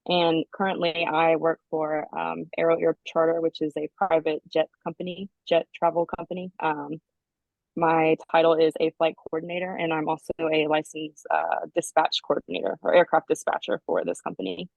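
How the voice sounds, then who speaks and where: Woman, 20s, low voice, monotone, slow careful speech, dull dry recording. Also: vocal fry, dry recording. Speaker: panel guest, flight coordinator. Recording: dry, slightly muffled.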